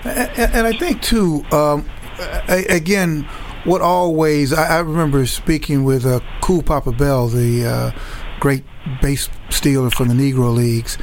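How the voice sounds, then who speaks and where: A man's voice: fairly high pitch, unhurried, slight background noise, low-quality recording. A man from Chicago's South Side hosting an interview, talking reflectively and often repeating words as he thinks. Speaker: host.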